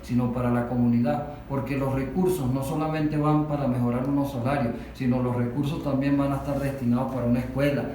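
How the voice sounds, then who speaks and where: A man's voice: medium pitch, steady tone, dark tone. Venezuelan man in a very echoey room. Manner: halting, explanatory, repeats phrases for emphasis. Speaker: industrial worker giving testimony. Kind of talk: unscripted panel discussion. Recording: very echoey room, distant mic, faint noise.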